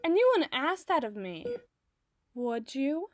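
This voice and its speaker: A woman playing an obsessive, doting doctor in an ASMR roleplay, speaking softly and articulately with theatrical tenderness. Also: high-pitched, very animated, slow pace, no room sound, heavily acted. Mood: soothing, coy. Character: obsessive, doting yandere woman doctor, soothing but possessive. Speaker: audio roleplay voice performer.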